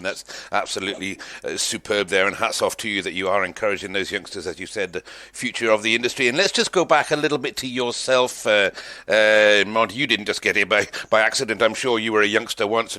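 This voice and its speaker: A man with a lowish, bright, forceful voice hosts an interview at a quick pace. He is conversational and effusive, full of compliments for his guest. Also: no room sound. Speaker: podcast host, interviewer.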